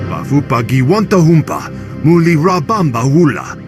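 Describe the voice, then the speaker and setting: Swooping sing-song alien trader. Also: male voice, on the high side, sing-song, normal speed. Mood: haggling. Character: alien trader speaking a guttural, sing-song invented tongue. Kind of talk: video game cutscene dialogue. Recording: loud music behind the voice.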